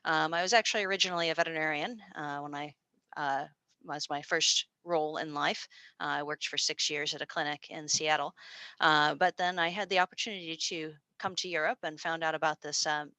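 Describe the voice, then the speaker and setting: An American woman presents to an online audience in a low yet bright, forceful voice. She speaks in a chatty, informal way, stumbling over fillers and restarting her sentences. The sound is close and dry. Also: fairly even intonation, brisk, dry recording. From United States. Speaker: presenter and postdoctoral researcher. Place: small room at a university. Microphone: video call.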